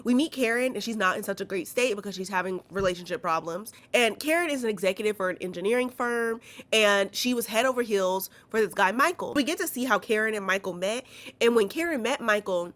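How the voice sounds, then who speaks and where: A woman talking through a movie recap in a loose, sassy way, full of slangy asides. She is sick, so her voice comes out congested and nasal. Her pitch sits in the middle. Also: animated, a little fast, a bit bright. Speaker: YouTube movie recap host. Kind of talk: casual movie recap commentary.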